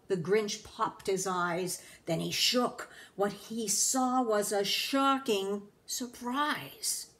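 A woman in her sixties reading a children's storybook aloud expressively, deliberately and with emphatic pauses. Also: medium pitch. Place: living room at home.

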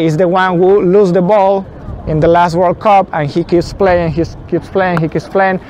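A man in his thirties whose first language is not English, slowly explaining football tactics at a whiteboard outdoors, often saying "okay". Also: sits high, darkish tone. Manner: slow, deliberate, frequent "okay" fillers. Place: outdoors in a city square. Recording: faint outdoor city noise.

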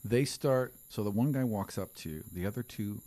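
A man's voice: fairly low pitch, moderate pace, mellow, no room sound. A man in his thirties narrating as game master in an easygoing way, on a studio mic. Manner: easygoing, lots of fillers. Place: studio set with a desk. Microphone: studio mic on a stand.

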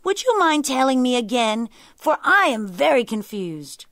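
A woman voicing a sly jackal who feigns dim-witted confusion in a folktale, with drawn-out, wide-eyed exclamations. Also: sits high, sing-song, fairly bright tone, no echo, studio quality, pitched above the performer's own voice. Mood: puzzled. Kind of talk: animal folktale storytelling.

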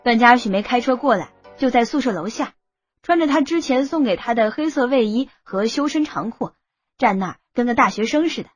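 Female audiobook narrator, high-ish and slightly breathy, dry close sound over soft music. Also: expressive, a little fast, no echo. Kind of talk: scripted novel narration. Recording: music bed under the voice.

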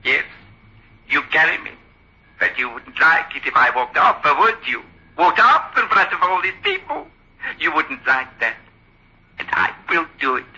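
A man's voice: fairly high pitch, very animated, normal speed, pauses often, bright, forceful tone, slightly rough, heavily acted. A man playing a malevolent little ventriloquist's dummy, taunting and threatening in an old-time radio horror play. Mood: menacing, taunting. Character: malevolent ventriloquist's dummy, small scheming male doll. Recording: dull lo-fi old radio recording.

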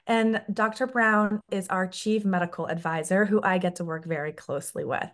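A woman's voice: mid-range voice, steady tone, normal speed. A woman in her thirties from the Netherlands, a native Dutch speaker, hosting a webinar over a video call with a polished, scripted delivery. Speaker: webinar host, company scientist.

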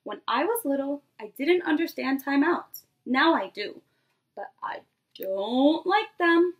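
Woman, twenties, high voice, expressive children's read-aloud, teacherly, speaks directly to little listeners. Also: a little slow. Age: 20s. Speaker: preschool teacher. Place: small bedroom, indoors.

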